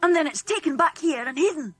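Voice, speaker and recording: A woman acts out a young storybook farm boy, glum but sincere. The voice is high and very expressive, telling things earnestly. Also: a little fast, noisy background. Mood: downcast, earnest. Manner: earnest, downcast.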